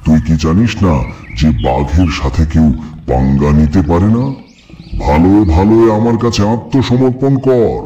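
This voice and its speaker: Man voicing a very dark, deep, boastful tiger. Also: normal speed, dull audio, slight background noise, natural voice. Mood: menacing, taunting. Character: boastful, menacing male tiger, predatory and scheming. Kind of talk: children's moral cartoon acting.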